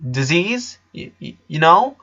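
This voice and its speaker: Man voicing an anxious, stammering young boy, raised pitch. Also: very animated, measured pace, sharp tone, lo-fi sound, exaggerated voice. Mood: startled, worried. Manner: stammering, pleading, urgent. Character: Lincoln, anxious eleven-year-old boy, worried big brother. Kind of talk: dramatic reading of cartoon fan fiction.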